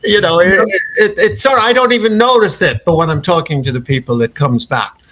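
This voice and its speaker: A man's voice: high-pitched, brisk, fairly bright tone. An older man from Ireland with an Irish accent, a company founder talking casually in an interview, often repeating words as he starts a thought.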